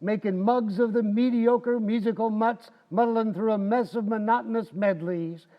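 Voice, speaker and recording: High-voiced folksy braggart husband played by a man. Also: steady tone, normal speed, lo-fi sound, lightly acted. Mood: boastful. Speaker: amateur actor in a community playhouse radio recreation. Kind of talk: old-time radio comedy acting.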